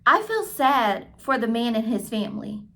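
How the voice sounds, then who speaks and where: A woman's voice: fairly high pitch, big pitch swings, normal speed, a bit bright. A woman reading the part of a patient mother who explains things to her child in a storybook. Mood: patient, serious.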